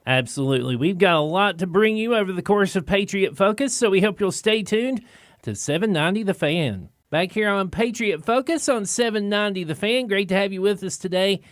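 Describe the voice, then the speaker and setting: A male radio host with a fairly high voice, recorded dry and close in the studio. He talks in an easygoing, plain-spoken manner and keeps the show moving along. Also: expressive, fairly quick, no room sound. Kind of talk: casual radio talk.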